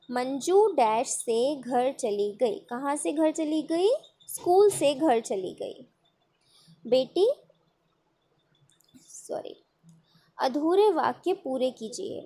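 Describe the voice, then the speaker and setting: A woman schoolteacher with a high voice talks at an unhurried pace. She poses a question to her pupils and then answers it herself, step by step, in a patient classroom manner. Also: a little mellow. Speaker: school teacher. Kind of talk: recorded online class over worksheet.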